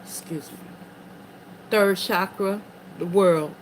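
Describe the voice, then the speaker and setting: A woman's voice: mid-range voice, slow, rough recording. A woman giving a tarot reading, reading card meanings aloud and dropping in casual asides to her viewers. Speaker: tarot reader. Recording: noticeable background noise.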